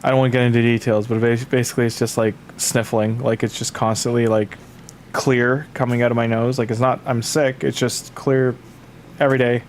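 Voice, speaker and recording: A man's voice: mid-range voice, a little fast, a little hiss or hum. A man streaming a game late at night, rambling casually to his chat with lots of fillers, sniffly from the tail end of a cold. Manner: rambling, filler-heavy, relaxed advice to chat. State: sniffly, getting over a cold. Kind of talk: casual livestream chat with viewers.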